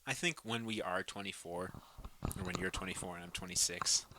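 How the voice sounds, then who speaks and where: A man's voice: low voice, big pitch swings, a little fast, vocal fry, very noisy. A man in his twenties co-hosting a podcast, chatting casually in an easygoing, enthusiastic way. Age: 20s. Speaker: podcast co-host. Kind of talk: casual chat.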